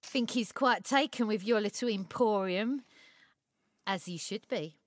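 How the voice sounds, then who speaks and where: An actress playing a shrewd assistant at a secretive tailor's shop in an audio story, talking in a blunt, wry, colloquial way. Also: female voice, mid-range voice, unhurried, dry recording, clean studio sound, natural voice. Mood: sly, appraising. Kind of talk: dramatised audio short story acting.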